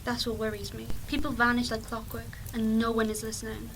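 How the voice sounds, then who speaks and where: Woman acting a sceptical investigator, dry n clipped, highish voice, audio drama, bit far from mic. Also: flat delivery, brisk, fairly bright tone, slight background noise. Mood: concerned. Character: brisk, sceptical female investigator, evidence-minded and dry.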